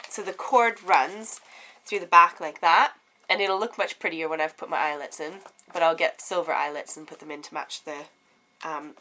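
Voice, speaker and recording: Girl with a blocked-up nose from a cold, bright sort of voice, lots of ums and little giggles, crafty home chat. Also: on the low side, a little hiss or hum. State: congested with a head cold. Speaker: craft vlogger. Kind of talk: casual show-and-tell chat.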